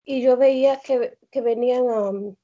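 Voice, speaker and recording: A young woman with a Mexican accent calling in on a video call from home, telling her story haltingly with many fillers and restarts. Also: a little high, steady tone, dark tone. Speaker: caller. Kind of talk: hesitant personal testimony on a video call. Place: home room indoors. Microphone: laptop or phone on a video call. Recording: dull lo-fi video call audio.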